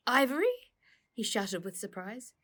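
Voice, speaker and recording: Slightly husky woman reading a story. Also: lowish voice, moderate pace. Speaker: author reading own book. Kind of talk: scripted children's story narration.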